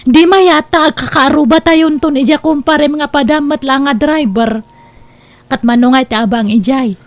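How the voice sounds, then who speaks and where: Driver's wife: a woman voicing a high, giggly, bashful type. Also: normal speed, dry recording. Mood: chatty.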